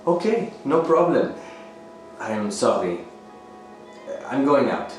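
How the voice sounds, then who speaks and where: A man's voice: mid-range voice, sing-song, takes it slowly. An actor playing a needy, apologetic male houseguest in a radio thriller, hesitant and eager to please, with theatrical flourishes. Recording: very echoey room, music underneath.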